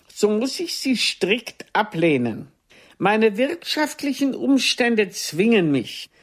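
Aging stage actress, haughty, bitter, sharp-tongued, theatrical, very animated pitch, unhurried, radio play. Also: female voice, breathy edge, exaggerated voice. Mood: cold, formal reading. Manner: theatrical, haughty, deliberate delivery. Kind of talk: radio drama dialogue acting.

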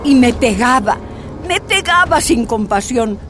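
Female ex-prisoner, slow emphatic testimony, slightly high anguished voice, radio drama narration, faint music. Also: animated. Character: tortured miners' activist woman recounting her imprisonment. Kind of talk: dramatised first-person narration.

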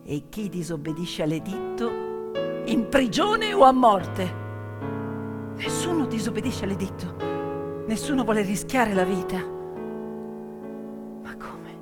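A woman performing theatrical narration as a stage storyteller, emphatic and dramatic, with deliberate pauses and hushed drops. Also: mid-range voice, big pitch swings. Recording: hall reverb with piano music behind. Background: piano music.

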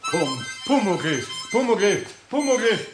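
A man's voice: high-pitched, very animated, slow pace, reverberant, music in the background, full character voice. A man playing a good-natured older craftsman with a Bavarian accent, coaxing and grumbling, in a children's radio play. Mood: coaxing, scolding.

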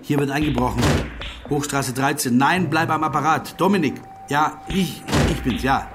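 An actor playing a cynical, driven investigator in a radio crime drama, curt, biting and insistent. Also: male voice, fairly high pitch, moderate pace, over loud music, natural voice. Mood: urgent, dictating. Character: sick, driven male investigator, cynical and bitter. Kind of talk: modern German radio crime drama acting.

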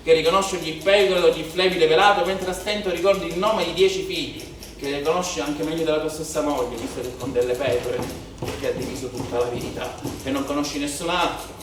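In a very echoey theatre, picked up from a distance, a male actor plays a brooding, bitter policeman, son of a shepherd. His voice is on the high side for a man. He declaims his stage monologue with rhetorical repetition and weighty pauses. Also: steady tone, natural voice. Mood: earnest, bitter. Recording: very echoey theatre, distant mic, faint noise.

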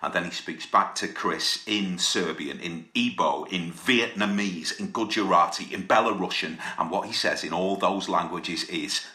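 Deep-voiced man storytelling, roomy echo. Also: a little slow, few pauses, bright, forceful tone. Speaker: storyteller, solo performer. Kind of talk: intense scripted spoken-word narration in English. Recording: echoey, roomy sound.

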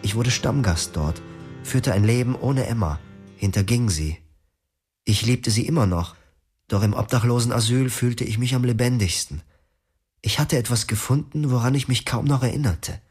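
A man's voice: medium pitch, normal speed, darkish tone, slightly rough, soft background music, lightly acted. An actor narrating as a weary young man in a German radio drama. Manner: subdued, reflective. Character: weary young man telling his own story.

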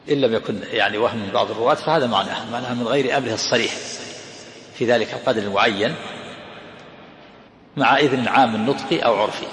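A man's voice: medium pitch, fairly bright tone. A man explaining hadith in a lesson, restating each point in several ways. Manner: explanatory, repeats phrases. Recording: echoey hall, faint noise.

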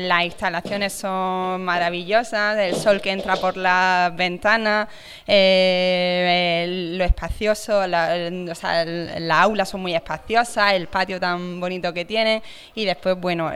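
A woman's voice: on the low side, fairly bright tone, clear, resonant tone. A woman, a mother of two, speaking as a guest in a radio interview, rambling with enthusiastic praise. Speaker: parent guest.

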